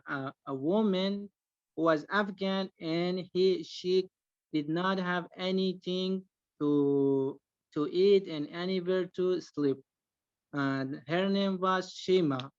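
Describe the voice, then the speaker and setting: Slow, halting man with clear voice. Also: high-pitched, dry recording. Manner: halting, frequent filler pauses. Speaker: panelist, former refugee teacher. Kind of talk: answering panel questions. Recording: dull lo-fi call audio.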